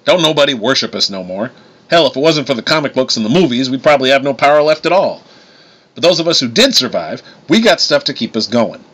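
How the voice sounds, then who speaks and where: A man's voice: mid-range voice, big pitch swings, fast, fairly bright tone, breathy edge, highs cut off, pitched above the performer's own voice. A man voicing a folksy, protective older-brother god who races stock cars, with a drawling Southern US accent, in an audiobook story. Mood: earnest.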